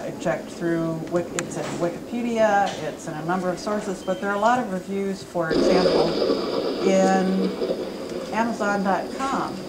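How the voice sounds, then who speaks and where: A woman reads a spooky folk tale aloud to a live audience, her voice building drama as the story goes on. She speaks at a middle pitch. A noisy hiss runs through the live recording. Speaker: reader at a library event. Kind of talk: reading a children's story aloud. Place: campus event room.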